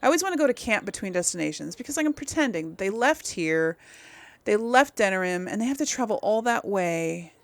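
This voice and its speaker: A woman's voice: mid-range voice, animated, a little fast, dry recording. A woman giving casual playthrough commentary as host of a gaming video, chatty and thinking aloud.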